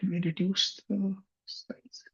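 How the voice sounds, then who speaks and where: A man demonstrating finance software on a shared screen, thinking aloud with frequent restarts. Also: high voice, flat delivery, takes it slowly, creaky, slightly rough. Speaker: software instructor. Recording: muffled lo-fi computer mic.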